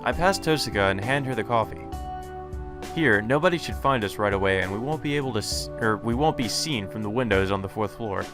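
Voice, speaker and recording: A man's voice: lowish voice, animated, a little fast. A man narrating a let's play, reading a visual novel aloud and adding casual commentary. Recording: music behind the voice.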